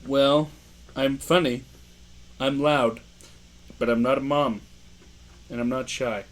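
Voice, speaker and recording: A young man with a loud voice, co-hosting a casual podcast, joking, laughing and reading quiz questions aloud. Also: mid-range voice, fairly even intonation, slow. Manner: joking, playful, laughs often. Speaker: podcast co-host.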